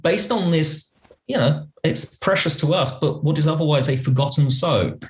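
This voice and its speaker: A man's voice: on the high side, normal speed. A man speaking as a podcast guest, discussing film conversationally in an articulate, opinionated way with emphatic phrasing. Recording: roomy, echoing sound.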